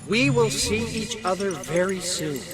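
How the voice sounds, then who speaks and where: A man playing an unhinged, grandiose scientist villain in a video game, coldly soothing and pompous in florid, deliberate phrases. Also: high voice, animated. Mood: gloating calm. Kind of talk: dramatic video game acting. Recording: loud music over the voice.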